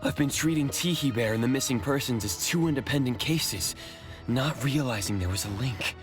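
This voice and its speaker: A man's voice: mid-range voice, normal speed, lightly acted. A man playing an earnest, protective investigator in an anime-style video game. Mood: realizing, stern. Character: earnest male investigator, protective, indignant at villains. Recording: music clearly behind the voice.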